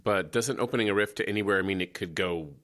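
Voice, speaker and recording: A man's voice: deep voice, fast talker, fairly bright tone. A man playing an anxious, practical ally in an audio drama, full of nervous questions. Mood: worried.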